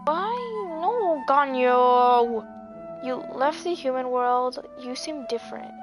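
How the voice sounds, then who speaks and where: A woman's voice: sits high, over music. A woman casually reacting to a video game, playful and teasing. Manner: playful, teasing, drawn-out talk. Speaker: gaming streamer. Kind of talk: casual reacting over gameplay.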